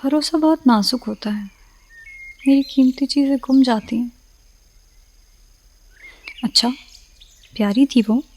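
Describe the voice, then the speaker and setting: A woman's voice: high voice, takes it slowly, long pauses, darkish tone. An actress playing a guarded young woman from a broken home who speaks in a wry, poetic way, in a studio radio play. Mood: bittersweet. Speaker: radio drama actor.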